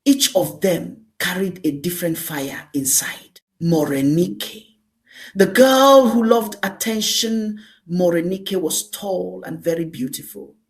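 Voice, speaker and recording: Slow, deliberate woman storyteller. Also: lowish voice, expressive. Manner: deliberate, emphatic short phrases with repetition. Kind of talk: scripted dramatic folktale narration.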